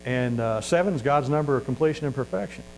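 Folksy Missouri preacher, faint hum. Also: male voice, medium pitch, fast talker, a little mellow, poor audio. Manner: folksy and earnest, many fillers and restarts. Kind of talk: sermon.

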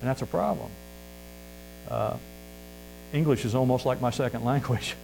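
A man's voice: medium pitch, moderate pace, vocal fry, a little mellow, low-quality recording. Earnest folksy preacher, a man with a Missouri accent and a mid-range voice, with a light mains hum on the track. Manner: folksy and earnest, many fillers and restarts. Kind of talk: sermon.

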